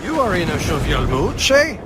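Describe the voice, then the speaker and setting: A haughty French naval officer in a video game. His voice is high for a man, flamboyant and formally pompous. Sea noise runs clearly behind him. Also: very animated, normal speed. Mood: dry, haughty. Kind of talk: video game cutscene acting.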